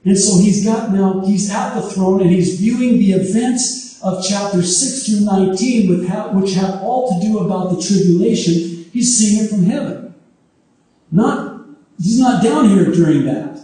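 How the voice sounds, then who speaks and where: A man preaching a sermon on prophecy whose first language is Arabic, speaking emphatically and at times rising to high exclamations. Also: measured pace, warm tone. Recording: echoey hall from far off.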